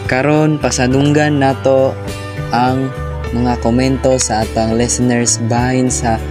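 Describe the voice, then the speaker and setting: Filipino male radio host over loud music. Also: medium pitch, level tone, a little slow. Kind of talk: radio presenter narration.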